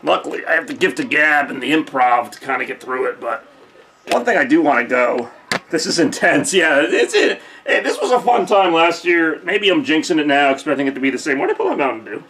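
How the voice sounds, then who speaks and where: A young man casually hosting a live holiday stream from a decorated room at home, joking and thinking out loud with lots of fillers, recorded on a camcorder mic. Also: on the high side, expressive, fairly quick, slight background noise. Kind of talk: casual live-stream chat reading viewer comments.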